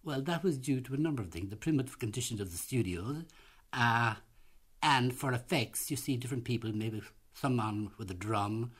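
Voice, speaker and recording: A man's voice: mid-range voice, normal speed, fairly bright tone. An older man, a veteran producer recalling old studio days in a rambling, anecdotal way. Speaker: theatre and radio producer interviewee. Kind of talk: interview reminiscence.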